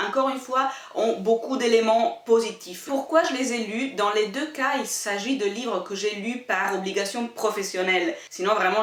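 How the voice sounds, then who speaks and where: Woman vlogging, careful explainy talk, sounds kinda far from the mic in an echoey room. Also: mid-range voice. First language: Italian. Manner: careful, explanatory, rephrases points. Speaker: book and culture YouTuber. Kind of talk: casual talk to camera.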